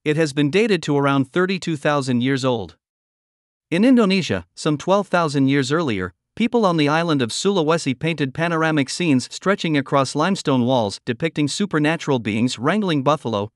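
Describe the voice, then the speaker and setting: A man reading a scripted documentary narration in an even, measured way. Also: on the high side, no room sound, studio quality.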